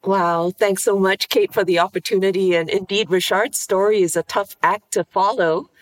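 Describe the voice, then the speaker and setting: A woman's voice: mid-range voice, fairly even intonation, normal speed. A woman from Singapore, a cardiologist guest on a podcast, telling her story with laughter and animation. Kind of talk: podcast interview answer.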